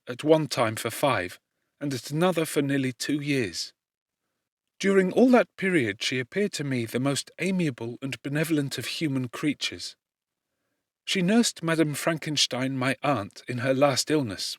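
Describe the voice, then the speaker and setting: A man voicing a brave young gentlewoman in an audiobook, speaking formally and firmly in a friend's defence. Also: fairly high pitch, dry recording, studio quality. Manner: formal, earnest, firm. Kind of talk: audiobook character reading.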